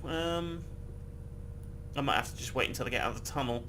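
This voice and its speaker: A man's voice: medium pitch, fairly quick, background noise. A man casually chatting and rambling while he plays a game, swearing freely and laughing often. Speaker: gameplay video host. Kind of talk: casual gameplay commentary.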